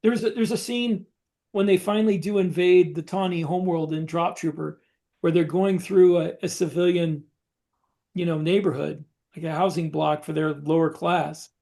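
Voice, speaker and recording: A man with a distinctly high-pitched voice chats as a podcast co-host. He describes things casually in short, halting phrases, pausing and repeating words along the way. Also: fairly even intonation, gravelly, dark tone. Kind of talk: casual discussion, describing story scenes.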